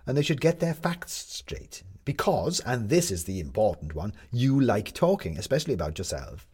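A man voicing a brisk, blunt and wry female newspaper reporter in an audiobook. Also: medium pitch, sing-song, a little mellow, husky, pitched above the performer's own voice. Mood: insistent.

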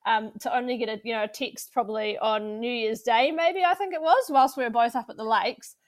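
A woman's voice: on the high side, fairly quick, no echo. A woman, co-hosting a casual podcast and chatting with many fillers. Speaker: podcast co-host.

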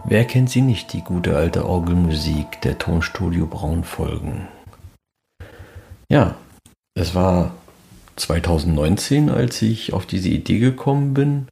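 A middle-aged man presents a fan podcast as a solo monologue. His voice sits very low and has a strong fry to it, like someone speaking from deep in his register. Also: lively intonation, slow pace, dark tone, soft background music. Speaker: podcast host.

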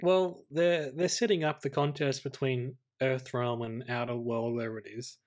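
Male Australian co-host, middle register, conversational film chat, measured delivery that works through thoughts aloud. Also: mid-range voice, steady tone, a little mellow. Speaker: podcast co-host. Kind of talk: casual film discussion.

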